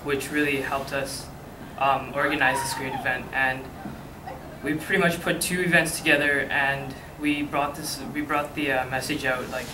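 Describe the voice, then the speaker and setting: A man's voice: mid-range voice, fairly even intonation, normal speed. A teenage male student informally recounting something at a meeting. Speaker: student council member. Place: school classroom, indoors. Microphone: distant camera microphone. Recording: echoey, distant, noisy room.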